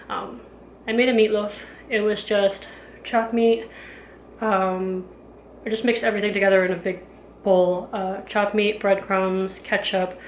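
A woman in her thirties talks to her handheld camera in a roomy kitchen, chatty and rambling. Her pitch sits mid-range, and a faint household hum runs underneath. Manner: chatty, rambling with many fillers. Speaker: home vlogger. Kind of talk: casual cleaning vlog narration. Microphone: handheld camera at arm's length.

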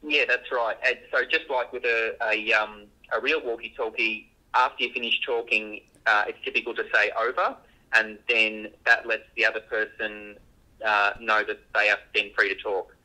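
A low-pitched man with a very sharp, bright edge comes through a walkie-talkie link. He explains things off the cuff, haltingly, repeating words as he goes. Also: flat delivery, low-quality recording. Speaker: engineer being interviewed. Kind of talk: unscripted interview explanation.

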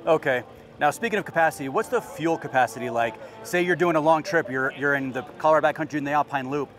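A man's voice: a little high, quick pace, a bit bright. A man in his thirties hosting a casual on-location interview outdoors with a clip-on mic. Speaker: interviewer, video host. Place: outdoors at a busy expo ground. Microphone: wireless clip-on mic.

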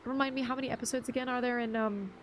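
A woman's voice: fairly high pitch, fairly even intonation, rapid-fire, a little hiss or hum. A young woman streaming a game, chatting casually, greeting viewers and reading chat aloud with many ums. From half English, half Bruneian. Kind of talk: casual live-stream chat while gaming.

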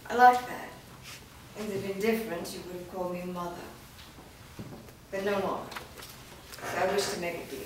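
A silky, menacing ancient vampire mistress, read by a woman at a staged reading. She speaks slowly and coldly, and the voice sits far from the mic in a very echoey room with faint background hiss. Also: medium pitch, fairly even intonation, lightly acted. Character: ancient female vampire master, cold and scheming. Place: large studio room. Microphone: far camera mic.

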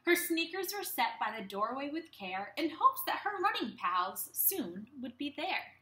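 A young woman teacher reading a storybook aloud to young children in an animated, expressive way, speaking to them directly. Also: high-pitched, a little slow. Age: young adult. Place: small room at home. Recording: roomy, echoey home webcam sound.

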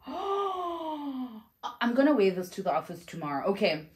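A woman's voice: a little high, very animated, measured pace. A young woman from South Africa chatting effusively in a casual perfume review vlog, filmed at home on a camera in front of her. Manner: chatty and effusive, with exclamations and fillers. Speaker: vlogger. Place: home room with shelves, indoors.

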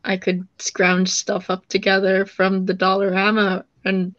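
A young woman artist talking quickly and excitedly on a video call, often breaking into giggles. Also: mid-range voice, no echo. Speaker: featured artist. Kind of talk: casual video-call chat. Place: home room indoors. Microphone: laptop webcam mic on video call. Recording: dull dry webcam audio.

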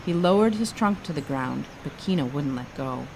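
A woman author reading her children's book aloud in an expressive storytelling style. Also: low voice, moderate pace, creaky, slight background noise, poor audio.

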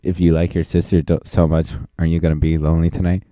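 A man's voice: very deep voice, level tone, fast talker, dark tone, no room sound. A man doing a goofy, improvised parody dub as an amateur voice performer, with crude joking and silly repeated nonsense. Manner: silly, repetitive nonsense ad-libbing.

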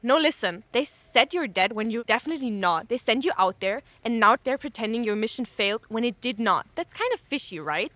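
A woman playing an eager, nosy character in an audio drama, blurting and rambling excitedly. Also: fairly high pitch, brisk, few pauses, dry recording. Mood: excited, urgent.

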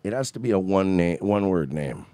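Man, thirties, podcast co-host, casual chat, very lively pitch, dry close sound. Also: normal speed, no echo. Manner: animated storytelling, mimics people he met. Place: home studio with red curtain.

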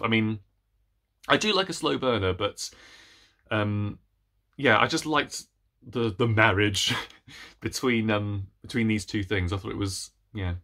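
A man in his thirties chatting casually to camera as a book vlogger, playful, rambling and animated, with self-deprecating asides. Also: medium pitch. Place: small room at home with bookshelves.

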